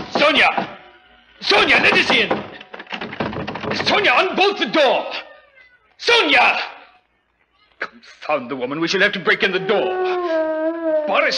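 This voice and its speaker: An imperious British lord in a vintage radio play. His voice is high for a man and swings widely as he gives theatrical, alarmed commands. The delivery is measured, on a grainy old recording. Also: full character voice. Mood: shouting orders, then impatient, commanding. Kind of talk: old-time radio drama acting.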